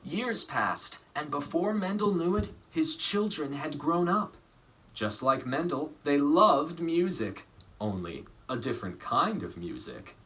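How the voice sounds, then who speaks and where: A man reading a children's story aloud in a measured, expressive way, pausing for emphasis. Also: on the high side, rough recording. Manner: measured, dramatic phrasing with pauses for emphasis. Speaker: storybook reader.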